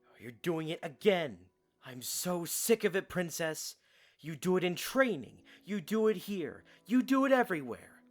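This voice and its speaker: A man's voice: sits high, big pitch swings, moderate pace, faint music behind. A man playing a loyal young soldier in an audio drama, blunt, protective and earnest. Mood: exasperated. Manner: earnest, direct.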